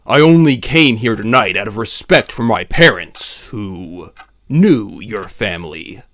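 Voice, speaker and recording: A man's voice: on the low side, animated, unhurried, a bit bright, a little hiss or hum. Man acting a brooding, guarded rich socialite. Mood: guarded, grave. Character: brooding wealthy male socialite, guarded and courteous. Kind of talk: comic dub drama acting.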